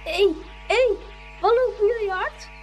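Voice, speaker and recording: An actor voicing a chattering young schoolboy in a Dutch radio play, lively and full of teasing banter. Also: very high voice, a little slow, faint music behind.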